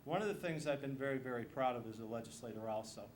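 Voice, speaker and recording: A man's voice: mid-range voice, fast talker. An American man speaking as a political candidate, giving an emphatic campaign speech with repeated phrases. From Missouri, United States. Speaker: state legislator and candidate. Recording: noisy live event room recording.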